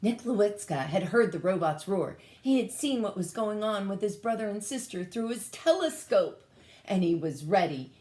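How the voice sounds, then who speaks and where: A woman reading a storybook aloud to children in a dramatic, emphatic, expressive way. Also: medium pitch, normal speed, slight background noise. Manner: dramatic, emphatic, engages listeners with questions. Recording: echoey room.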